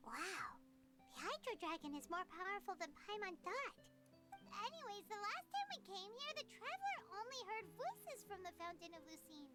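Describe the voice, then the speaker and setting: A little floating fairy companion in a video game. The voice is squeaky and childlike, extremely high and bouncing in pitch. Prominent music plays behind it. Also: female voice, big pitch swings, normal speed, sharp tone, full character voice. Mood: curious. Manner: squeaky, childlike, talks in third person.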